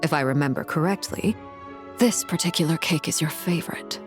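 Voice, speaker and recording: Woman as calm formal game noblewoman, slightly low voice, measured, gracious, music behind. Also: lightly acted. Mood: composed, gracious. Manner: measured, polite. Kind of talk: English video game voice acting.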